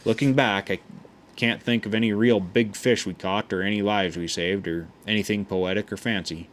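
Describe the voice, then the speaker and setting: Guy reading an old memoir out loud, lowish voice, easygoing, trips over words and starts again. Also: steady tone, slight background noise. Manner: unhurried, folksy, with frequent stumbles and restarts. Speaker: audiobook reader.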